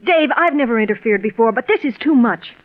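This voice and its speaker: An actress playing a loyal, indignant wife in expressive 1940s radio drama style. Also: female voice, a little high, brisk, close to an everyday voice. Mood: exasperated. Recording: thin, narrow-band 1940s radio recording.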